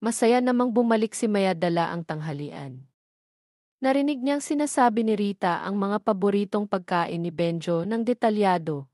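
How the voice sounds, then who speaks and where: A woman's voice: mid-range voice, a little mellow, dry recording, studio quality. A woman with a Filipino accent narrating a scripted audio drama story.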